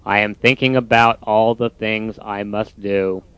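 A man putting on a lazy, grumbling toad who drags out his words in a low, slow, sulky voice. The sound is smooth but dull and lo-fi, with a dry, close feel. Storybook reading. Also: steady tone, fairly bright tone, no room sound. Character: lazy grumbling male toad, gloomy then relieved.

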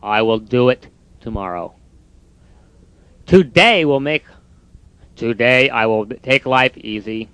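A man voicing a lazy, grumbling male toad in a storybook reading, speaking low, slow and sulky. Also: animated, a bit bright, clear, resonant tone, dry recording.

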